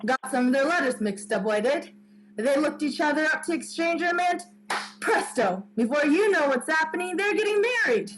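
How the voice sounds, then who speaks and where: A woman's voice: high-pitched, lively intonation, moderate pace, soft background music, low-quality recording. A woman playing a talkative, cheeky old village postman and bell-ringer in a radio play. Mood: self-satisfied. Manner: talkative, jaunty.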